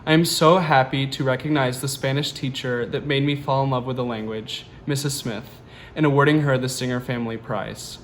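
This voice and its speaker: A young man in his twenties reads a cheerful, formal tribute to his teacher. His voice sits in a middle pitch, with faint background noise. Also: fairly even intonation, moderate pace. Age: 20s. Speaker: university senior student. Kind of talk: prepared award nomination statement.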